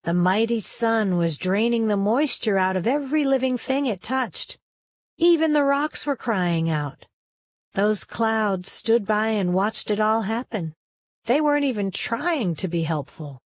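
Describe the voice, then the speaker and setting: A woman reading a children's story aloud as narrator, in a folksy, expressive storytelling manner with dramatic emphasis. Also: mid-range voice, measured pace, no room sound, clean studio sound.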